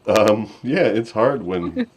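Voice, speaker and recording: A man's voice: on the low side, sing-song, slow, breathy edge, soft background music. A man hosting a casual live chat, recorded on a crackly webcam microphone. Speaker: host of a fan podcast livestream. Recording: distorted, crackly webcam mic.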